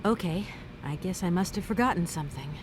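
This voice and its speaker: A woman's voice: on the low side, expressive, brisk, slight background noise, close to an everyday voice. An actress voicing a polite, inquisitive adventure game heroine, courteous and questioning in her video game dialogue. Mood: courteous, matter-of-fact.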